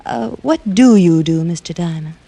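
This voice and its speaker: An actress playing a cool, coy American carnival dancer in old radio detective drama style, guarded and teasing. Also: female voice, lowish voice, animated, moderate pace, creaky, dark tone, no echo. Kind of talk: 1940s-50s radio detective acting. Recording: old radio recording, faint music.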